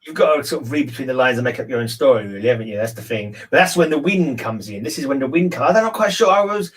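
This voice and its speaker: A man's voice: medium pitch, animated, fast talker. A man in a casual book discussion, rambling and thinking aloud as he repeats his phrases. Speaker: discussion panelist.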